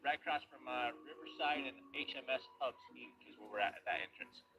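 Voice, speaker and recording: A man from an underwater search and recovery team, giving a calm, matter-of-fact field report and explaining how the search was done. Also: medium pitch, brisk. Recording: loud music bed under voice.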